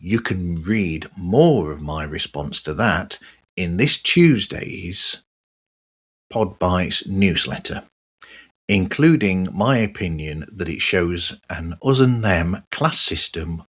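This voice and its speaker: Slow, low-voiced man, slightly husky. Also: lively intonation, a bit bright. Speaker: podcast host. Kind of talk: solo podcast monologue, conversational.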